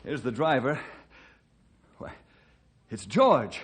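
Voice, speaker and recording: An actor playing a steady, fair-minded American forest ranger in a radio drama, earnest and authoritative. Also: male voice, mid-range voice, slow pace. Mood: concerned. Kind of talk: old-time radio drama acting. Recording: faintly noisy old radio recording.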